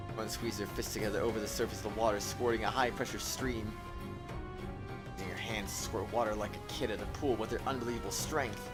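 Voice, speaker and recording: A man's voice: fairly low pitch, fairly even intonation, fast talker, over loud music. A man streaming a game, reading visual novel narration aloud and adding his own side commentary. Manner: reads stage directions and punctuation aloud.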